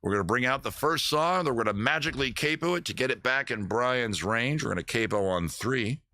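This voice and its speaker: A man's voice: medium pitch, lively intonation, a little fast, bright, forceful tone, no room sound. A man hosting a live music stream, chatting casually with viewers between songs in a rambling, joking way and poking fun at his own singing. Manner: self-deprecating about his own singing. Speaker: livestream host and musician. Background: his own acoustic guitar playing.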